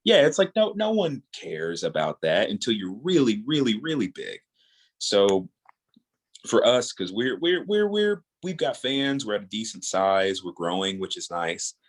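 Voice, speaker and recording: Very deep-voiced man, earnest interview guest. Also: sing-song, measured pace. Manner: earnest, rambling, repeats words as he thinks. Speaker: podcast guest, audio drama creator. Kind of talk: casual interview talk.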